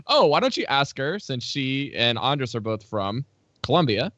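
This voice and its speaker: A man's voice: mid-range voice, big pitch swings, moderate pace, fairly bright tone, no room sound. A male podcast host asks his guest questions in a rambling, casual way, laughing often. His voice sits mid-range, and the dry audio sounds dull and lo-fi. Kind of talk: casual interview chat.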